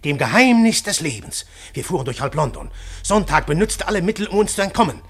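Grüblerischer Detektiv. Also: male voice, high-pitched, big pitch swings, brisk, breathy edge, no room sound, full character voice. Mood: tense narration. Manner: fervent, declamatory, shifting to hushed musing. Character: intense male detective, brooding philosopher. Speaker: detective narrator. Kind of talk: radio play acting.

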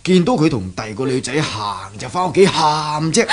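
A man's voice: a little high, sing-song, normal speed, a crowd in the background. An actor playing an indulgent, worldly middle-aged father in a Cantonese radio drama, coaxing and chuckling, with a Hong Kong accent. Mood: reassuring, persuasive. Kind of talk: 1970s radio drama acting. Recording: noisy old 1970s radio recording.

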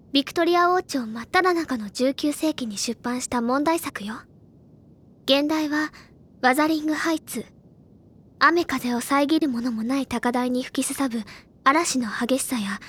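A woman's voice: high-pitched, natural voice. An actress playing an earnest, bookish senior schoolgirl in an audio drama, speaking articulately and dramatically like a storyteller. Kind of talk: Japanese audio drama acting, literary storytelling. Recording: loud background music under voice.

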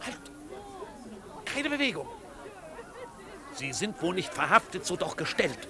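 An actor playing an officious, absurdly formal servant with pedantic, roundabout phrasing in a 1950s radio drama. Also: male voice, high voice, big pitch swings, measured pace. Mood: stern, commanding. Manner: pedantic, circumlocutory, deferential to his master. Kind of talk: 1950s German radio play acting. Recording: 1950s radio recording, faint crowd behind.

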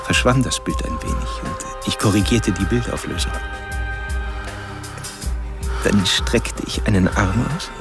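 Male for radio thriller killer: low-voiced, measured, obsessive, widely varied intonation, music underneath. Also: huge pitch swings. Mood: detached, obsessive. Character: obsessive male killer, coolly philosophical, lecherous, narrating. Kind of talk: German radio crime drama monologue.

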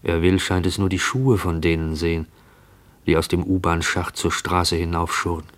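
An actor narrating a sombre radio play in a measured, literary way. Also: male voice, deep voice, steady tone, close to an everyday voice. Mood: measured, watchful. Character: observant male narrator of a sombre story.

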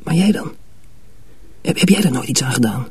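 An actor playing an eager young mill apprentice in a radio drama, breathy and husky, full of urgent questions. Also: male voice, mid-range voice, lively intonation, normal speed, a little mellow. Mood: puzzled. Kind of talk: Dutch radio drama acting.

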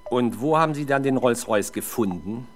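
A man's voice: mid-range voice, expressive, moderate pace, a little hiss or hum, lightly acted. A man playing a sceptical, sardonic middle-aged police interrogator in a 1970s German radio drama, dry and needling.

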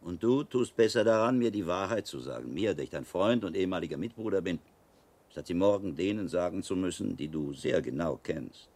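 A middle-aged monk investigator in a radio play. His voice is very deep and carries calm authority. He questions in a measured, pointed and shrewd manner. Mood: pressing, stern. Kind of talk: radio drama acting.

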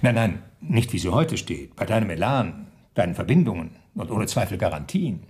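A man acting in a radio play as a scheming, self-assured official with a gravelly, husky voice. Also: lowish voice, very animated, moderate pace, a little mellow, exaggerated voice. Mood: urging, hearty.

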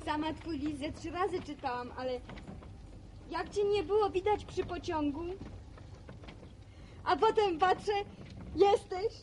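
A woman's voice: very high voice, a little slow, long pauses, darkish tone. An actress playing an eager, gossipy village woman in a radio drama. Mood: excited. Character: excitable, talkative village woman Julka. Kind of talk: Polish radio drama acting. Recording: noisy outdoor scene with animal sounds.

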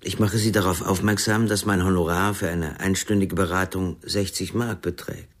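Radio crime play: male actor as businesslike therapist, deep voice, formal, curt, unyielding. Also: steady tone, normal speed, close to an everyday voice. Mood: coolly formal.

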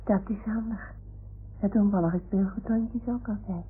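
An actress playing a naive, unsure little toy dog, talking in a childlike, hesitant way in an old radio play. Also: female voice, mid-range voice, fairly even intonation, moderate pace, husky, narrow, tinny audio. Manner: childlike, hesitant, searching for words. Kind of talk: 1960s-70s Dutch radio play acting. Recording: thin, noisy old radio recording.

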